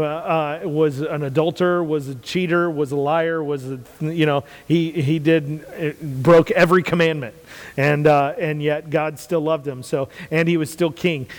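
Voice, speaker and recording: A man with a big, carrying voice sits a touch higher than most men. He speaks as a pastor in casual, earnest faith talk, leaning on repetition. The sound rings out with long room reverb. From United States. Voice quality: loud, carrying voice. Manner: earnest, repeats phrases for emphasis.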